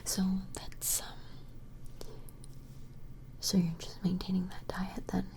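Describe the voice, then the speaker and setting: Woman, ASMR role play, breathy whisper, creaky, very unhurried, gentle, hesitant, close-miked. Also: on the low side, monotone. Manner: gentle, hesitant, murmured admiring asides. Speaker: ASMR performer. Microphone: close-up microphone.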